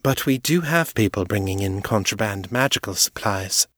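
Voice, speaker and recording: A man's voice: on the low side, expressive, moderate pace, a bit bright, no room sound, studio quality. A man voicing a haughty, aristocratic female vampire who speaks in a polished, condescending way. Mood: measured, cool. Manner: polished, formal, patronizing.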